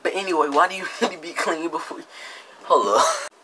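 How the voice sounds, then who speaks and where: A man's voice: on the high side, fairly quick, bright, forceful tone, a little hiss or hum, rough recording. A young American man giving casual, slangy comedic commentary, mocking and exasperated, often repeating himself. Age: young adult. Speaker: reaction video host. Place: indoor room with green screen.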